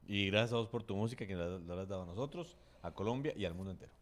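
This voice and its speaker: A man co-hosting a casual talk show, appreciative and trailing off softly at the ends of sentences. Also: low voice, expressive, rapid-fire. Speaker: co-host. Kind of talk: casual podcast chat.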